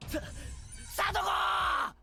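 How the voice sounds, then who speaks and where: A woman voicing a panicked teenage boy in a Japanese visual novel, shouting urgently with a strained, gravelly voice. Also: very high-pitched, flat delivery, takes it slowly, full character voice. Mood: panicked, desperate. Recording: music behind the voice.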